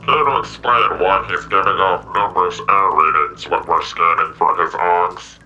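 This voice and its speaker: A man's voice: low-pitched, fairly even intonation, normal speed, bright, forceful tone, muffled recording. A man playing an officious, suspicious corporate security guard captain in an audio drama. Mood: questioning, curt. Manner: officious, barking orders.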